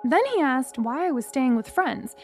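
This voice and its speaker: Young woman, first-person memoir reading, wry and candid, fairly high voice, faint music behind. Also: very animated, moderate pace, darkish tone. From Singapore. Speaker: narrator.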